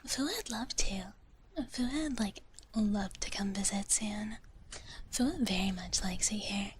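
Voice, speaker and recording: A woman streamer whispering gently and breathily in a live ASMR roleplay as a sweet dragon big sister, close to the mic. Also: mid-range voice, takes it slowly, a little hiss or hum. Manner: gentle, breathy whispering, refers to herself by name in third person. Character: sweet, childlike dragon big sister who loves treats. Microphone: close ASMR microphone.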